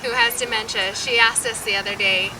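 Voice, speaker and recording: An earnest woman in her thirties speaks with sincere warmth of feeling about her own story. Her voice sits well back from the mic. It carries a roomy echo and steady background noise. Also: a little high, brisk. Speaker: wife sharing her infertility story. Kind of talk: heartfelt personal storytelling. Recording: roomy, distant, noisy.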